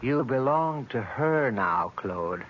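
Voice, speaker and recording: An actor playing an ominous messenger of a vengeful goddess in a radio horror drama, solemn and menacing. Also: male voice, medium pitch, expressive. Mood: solemn menace. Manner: slow, solemn, menacing delivery. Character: ominous male messenger of a vengeful Venus statue. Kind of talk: 1970s radio horror acting. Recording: dull, hissy 1970s radio broadcast.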